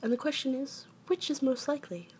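Woman voicing an earnest, raised-pitch little storybook bear. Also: expressive, darkish tone, a little hiss or hum. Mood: earnest pondering. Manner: deliberate, earnest, pondering. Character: earnest, anxious, self-important small male toy bear. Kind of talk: children's storybook character voice.

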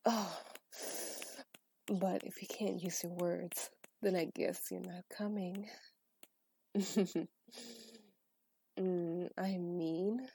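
A woman in a scripted adult audio roleplay, speaking as a dominant partner in a breathy, teasing, commanding whisper. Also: lowish voice, darkish tone, no echo.

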